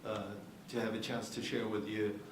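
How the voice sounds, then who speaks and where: A man's voice: lowish voice, little pitch movement, moderate pace. A man starting a conference talk, polite and hesitant, with frequent fillers. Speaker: panel speaker. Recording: distant mic in echoey hall, loud noise.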